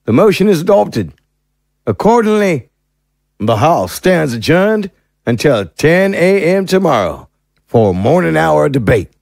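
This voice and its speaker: An actor playing a formal Speaker of the House, making ceremonial announcements in an audio drama with a creaky voice. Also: male voice, a little high, very animated, measured pace, darkish tone, no room sound, studio quality, exaggerated voice. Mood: official, formal.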